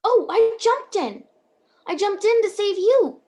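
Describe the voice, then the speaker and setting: Woman playing a very high-voiced, earnest apprentice angel. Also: animated, a little slow, darkish tone. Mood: chatty, flustered. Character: earnest, bumbling apprentice guardian angel, kindly and chatty. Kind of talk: radio play acting. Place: at home on a video call.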